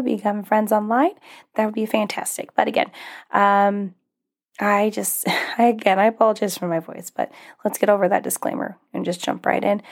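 A woman's voice: medium pitch, creaky, darkish tone. A young woman hosting her own podcast, talking casually and encouragingly with little laughs, her voice a bit strained as it recovers from being lost. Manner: upbeat, encouraging, with frequent little laughs and fillers. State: recovering from losing her voice, may crack. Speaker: podcast host and life coach. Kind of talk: casual solo podcast talk.

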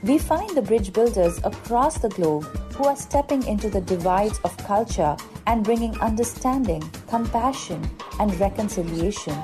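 A woman's voice: mid-range voice, animated, moderate pace, few pauses. Female intro voice, scripted and earnest, over a music bed. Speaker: show intro announcer.

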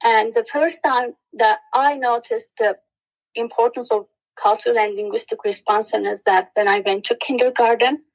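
A woman's voice: on the high side, moderate pace. Earnest Turkish-accented woman, muffled audio. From Turkey. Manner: earnest, steady, many fillers and restarts. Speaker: guest, education specialist. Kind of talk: reflective interview answer.

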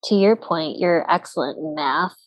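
Lower-voiced woman interviewer, close and dry. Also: normal speed, no echo, dull audio. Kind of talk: conversational interview.

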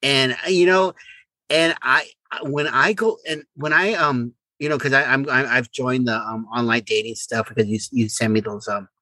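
A man's voice: mid-range voice, moderate pace, pushed and bright, no room sound, rough recording. An older man co-hosting a casual podcast chat, stammering and repeating words, often exclaiming. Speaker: podcast co-host.

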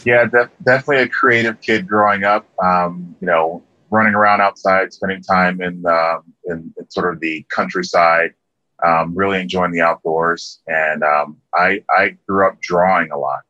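A deep-voiced middle-aged man from Liberia talks as a guest in a relaxed interview, full of ums and restarts. His tone is bright and forceful, and the recording sounds dull and lo-fi with a dry, close feel. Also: normal speed, no room sound. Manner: relaxed, many ums and restarts, laughs easily. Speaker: interview guest, landscape architect. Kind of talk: casual interview conversation.

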